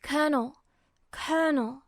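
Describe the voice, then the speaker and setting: A woman's voice: sits high, unhurried, dry recording, studio quality. A woman voicing a brash, hot-tempered young man, blurting his words emphatically in an audiobook. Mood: bewildered insistence. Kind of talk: audiobook character voice.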